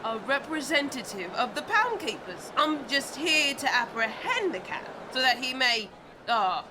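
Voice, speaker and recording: A confident young London swindler girl, with a high, animated voice. She is mock-polite and persuasive in an audio drama, with noisy street sound around her. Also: unhurried.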